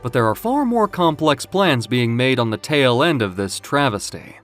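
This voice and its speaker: A man's voice: medium pitch, lively intonation, normal speed, fairly bright tone, faint music behind, natural voice. A man voicing a cruel, gloating villain in an audio drama, with a theatrical, taunting, mock-tender delivery.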